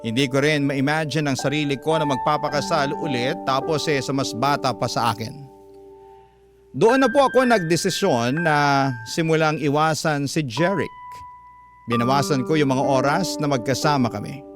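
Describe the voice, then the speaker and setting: A man's voice: a little high, normal speed, lightly acted. A man with a Filipino accent reading a troubled mature woman's love confession as earnest radio-drama narration. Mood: uneasy, regretful. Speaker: radio storyteller. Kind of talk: first-person letter narration, classic radio drama. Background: guitar music.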